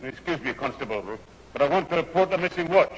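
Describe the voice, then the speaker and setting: An actor playing an indignant, excitable old grandfather with a husky voice in a 1970s radio sitcom. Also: fairly high pitch, fast talker, muffled recording. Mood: brisk. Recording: reverberant old radio studio recording.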